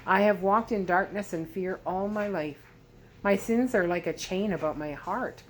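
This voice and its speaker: A woman's voice: medium pitch, measured pace, natural voice, low-quality recording. Storybook role: aged sorcerer man, performed by a woman, intense, imploring delivery, slight background noise. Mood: pleading. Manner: earnest, pleading, dramatic. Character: frightened old Chaldean sorcerer, desperate, pleading, emotional man.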